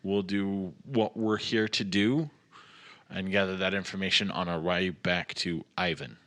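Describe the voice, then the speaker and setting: A man's voice: sits low, measured pace, bright, forceful tone. A man playing a tabletop game, talking casually as himself and as his character.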